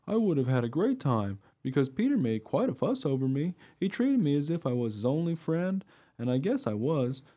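A man's voice: a little high, dark tone. A man playing an eager, naive mongrel dog who tells his own story in a radio play. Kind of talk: first-person radio play narration.

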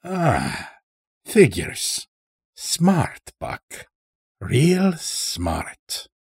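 A man voicing a stout, sly old farmer in an audiobook story, with a folksy drawl and unhurried, dragged-out words. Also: fairly high pitch, huge pitch swings, gravelly, a little mellow, slightly rough, no room sound, studio quality, pitched above the performer's own voice, full character voice. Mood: folksy, musing.

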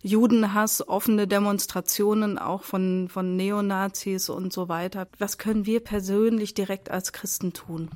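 A woman interviewer asking calm, measured questions. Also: mid-range voice.

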